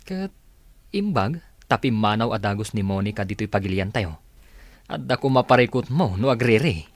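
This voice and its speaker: A man's voice: on the low side, lively intonation, moderate pace, no echo. A man with an Ilocano Filipino accent playing a teasing, practical best friend in a radio drama. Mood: teasing, frank.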